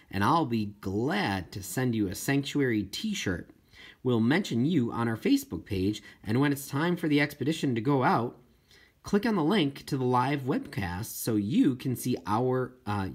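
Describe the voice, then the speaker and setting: An audiobook reader voicing a friendly, encouraging female scientist reading out her letter in a measured, informal way. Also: mid-range voice, husky.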